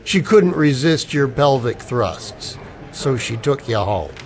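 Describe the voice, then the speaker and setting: A man's voice: mid-range voice, big pitch swings, takes it slowly. An actor playing a wry, wary ex-soldier down on his luck, with dry, sardonic banter in a radio play. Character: wry, wary unemployed ex-Ranger, expectant father. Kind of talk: radio play dialogue in homage to old radio dramas.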